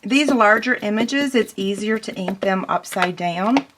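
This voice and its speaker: A woman walks through a paper crafting project in a relaxed tutorial, narrating each step as she works. Her voice has gone squeaky from strain, and she fills pauses with ums. Steady background noise runs under the recording.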